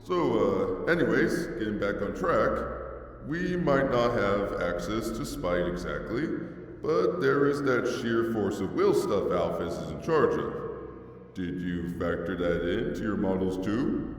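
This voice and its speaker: A man's voice: lowish voice, expressive. A man voicing a laid-back skeleton lab intern in a comic dub, wry and casual in manner. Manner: slow, casual, wry, hesitant drawl. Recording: echoey hall-like reverb, distant, faint music.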